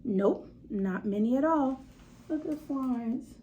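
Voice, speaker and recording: A woman reading a picture book aloud to children in an expressive way, stretching words for emphasis and adding asides to her young listeners. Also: high-pitched, slow pace, dull audio, slight background noise. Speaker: read-aloud storyteller for children.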